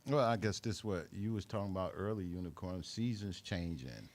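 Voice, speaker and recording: A man's voice: low voice, moderate pace. A man with an American accent hosting a radio show and casually chatting with a guest. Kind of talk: casual chat.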